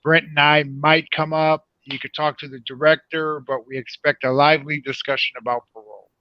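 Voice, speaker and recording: Deliberate, higher-pitched man guest. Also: flat delivery, no room sound, highs cut off. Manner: deliberate, careful delivery. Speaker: guest playwright. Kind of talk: announcing event details.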